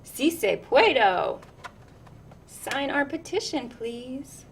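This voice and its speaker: Storybook schoolkids, played by a woman: eager, pleading, high-pitched, swooping campaign chants. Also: lively intonation, slow pace, creaky, slight background noise, heavily acted.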